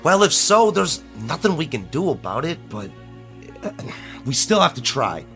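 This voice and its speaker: A man's voice: on the high side, big pitch swings, moderate pace, fairly bright tone, faint music behind. An actor playing an anxious, determined small-town man in an audio drama, speaking earnestly and persuasively. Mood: pleading.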